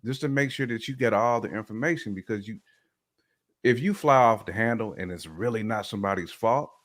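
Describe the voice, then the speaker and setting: A man's voice: lowish voice, a little fast, fairly bright tone. A man with a Southern American accent, co-hosting a casual chat and explaining his views conversationally. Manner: conversational, explains with "you know what I'm saying". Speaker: co-host.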